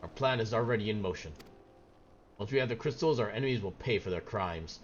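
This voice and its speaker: A man's voice: fairly low pitch, a little fast, muffled recording, slight background noise, close to an everyday voice. A man voicing an angry, defiant young rebel in a fantasy game, vehement and declamatory. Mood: menacing, defiant.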